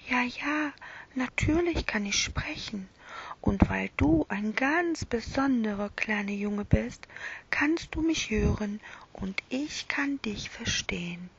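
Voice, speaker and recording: A gentle little toy lamb speaks in a high, breathy whisper, as a woman puts her on. The voice is husky and a bit gravelly, slow and soothing, and the recording sounds dull and lo-fi. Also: animated, full character voice. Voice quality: soft whispery.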